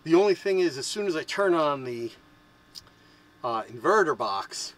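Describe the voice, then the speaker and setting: A man's voice: a little high, very animated, normal speed, a bit bright, low-quality recording. Man eagerly explaining his trailer outdoors. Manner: enthusiastic, explains gear hands-on with many restarts. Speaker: trailer owner. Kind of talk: casual show-and-tell interview. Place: outdoor campground beside a trailer.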